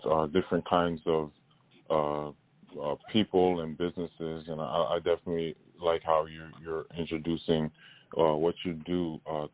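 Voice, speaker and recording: A man hosting a casual interview, with a halting, hesitant delivery that often repeats words. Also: rumbling low, no room sound. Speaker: interviewer and host. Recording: dull phone-like call audio.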